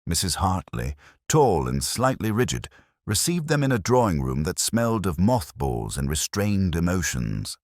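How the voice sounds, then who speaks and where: A man narrating a scripted mystery story in a measured, literary manner. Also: deep voice, very animated, no room sound, studio quality.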